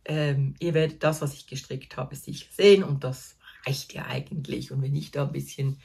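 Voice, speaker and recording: A woman's voice: extremely low pitch, fairly even intonation, normal speed, gravelly, a little mellow, breathy edge. A woman in her fifties with a Swiss German accent, chatting casually to camera from her small craft corner at home, chatty and self-deprecating and laughing at herself. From Switzerland. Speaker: knitting vlogger.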